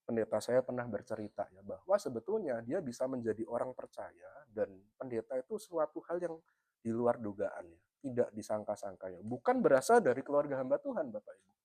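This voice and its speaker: A man's voice: medium pitch, dark tone, dry recording. A middle-aged man from East Java with a Javanese accent, preaching a sermon in an easy, chatty way, ending phrase after phrase with a little tag and speaking straight to the congregation. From East Java, Indonesia. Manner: conversational, tags nearly every phrase with "ya"; addresses the congregation often. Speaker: pastor.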